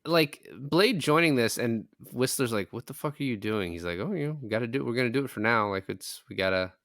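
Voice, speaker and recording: A man's voice: mid-range voice, animated, fairly quick, a bit bright, no room sound, studio quality. A man in a casual film discussion, retelling things in a rambling way with some swearing.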